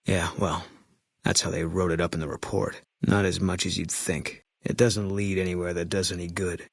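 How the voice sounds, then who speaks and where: A haunted ex-sniper companion in a video game, who talks in short, guarded sentences. His voice is very low and husky, recorded dry and close with no room around it. His delivery is flat and curt, like a soldier who would rather not talk. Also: normal speed, dry recording. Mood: grim. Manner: terse, clipped, flat and guarded. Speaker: companion character. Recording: dry studio sound.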